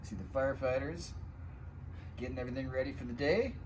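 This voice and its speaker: A man reading a children's picture book aloud in an animated, expressive way, with asides to young listeners. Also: mid-range voice, brisk, slight background noise, poor audio. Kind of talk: animated storytime read-aloud.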